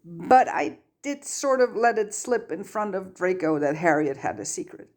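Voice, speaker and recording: A woman's voice: mid-range voice, expressive, moderate pace, voice pushed up, lightly acted. A woman voicing a defensive, evasive teenage schoolboy in a story reading. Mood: sheepish pleading. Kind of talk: audiobook character reading.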